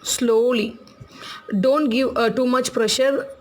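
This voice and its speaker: A woman's voice: on the high side, fairly even intonation. Chatty Tamil-accented woman teaching crafts. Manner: chatty, laughs often, repeats instructions slowly. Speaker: craft tutorial host.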